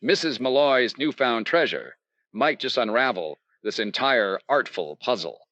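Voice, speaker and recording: An actor playing an earnest, dogged investigator in an old-time radio detective drama, urgent and no-nonsense in dialogue and narration. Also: male voice, mid-range voice, lively intonation, unhurried, sharp tone, no room sound, muffled recording. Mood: determined. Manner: hard-boiled, urgent, no-nonsense. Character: earnest, dogged male insurance investigator in a noir detective serial.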